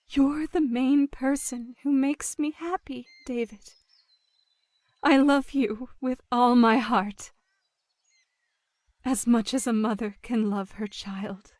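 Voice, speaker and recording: A slow, tender dying mother. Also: a little high, no echo. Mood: loving, proud. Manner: gentle, slow, soothing. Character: dying middle-aged mother, tender, consoling, selfless. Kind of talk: emotional dramatic game voice acting.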